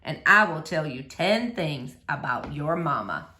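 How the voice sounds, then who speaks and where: A woman voicing a gentle, preoccupied preacher father in a storybook, speaking in a kindly, muted manner. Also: deep voice, lively intonation, measured pace, echoey room, voice pushed down, heavily acted. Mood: resigned, tender. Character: gentle, preoccupied preacher father, soft-spoken grown man.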